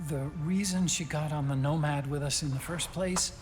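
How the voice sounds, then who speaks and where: Guy doing earnest american scientist type, kinda high voice, gentle explainer, game drama w music behind. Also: normal speed, natural voice. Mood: somber, earnest. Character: earnest, thoughtful male scientist, gentle and loyal. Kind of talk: dramatic video game acting.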